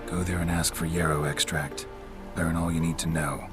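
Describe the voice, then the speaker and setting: An actor playing a stoic monster hunter in a video game, laconic and deadpan, over music. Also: male voice, low-pitched, moderate pace, close to an everyday voice. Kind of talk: video game character acting. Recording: loud music behind.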